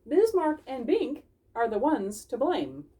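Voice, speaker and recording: A woman's voice: high-pitched, wildly swooping pitch, takes it slowly, mellow, distant mic, pitched above the performer's own voice, full character voice. A woman voicing a mild, honest male animal friend in a children's storybook, earnest and careful in manner. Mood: protesting.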